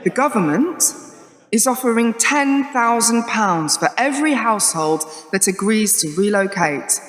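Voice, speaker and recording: A woman's voice: fairly high pitch, animated, measured pace. An actress playing a pragmatic young company spokeswoman in her twenties, reasoning with a public meeting in a wry, explanatory way in a radio play. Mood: earnest, persuasive. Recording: echoey hall with long reverb.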